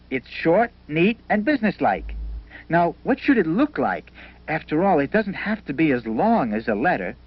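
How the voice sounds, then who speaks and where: A man's voice: fairly high pitch, breathy edge. A man playing an earnest, inventive village banker who muses aloud in a 1970s educational cartoon. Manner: musing aloud, businesslike. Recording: dull old 1970 film soundtrack.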